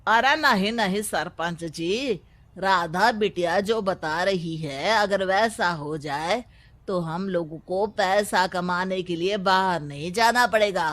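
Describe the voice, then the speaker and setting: A woman's voice: fairly low pitch, takes it slowly, fairly bright tone. A woman voicing a hopeful male villager in a cartoon story, speaking eagerly.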